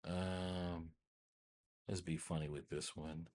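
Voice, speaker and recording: A man hosting a casual software tutorial, thinking aloud informally and often repeating his phrases. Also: low-pitched, little pitch movement, slow. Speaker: tutorial host.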